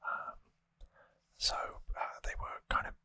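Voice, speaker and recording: Close, breathy ASMR whisper, very dry. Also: slow pace, bright, forceful tone, no echo. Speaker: host of a trivia ASMR show. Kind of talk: ASMR whispered episode recap. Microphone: close microphone.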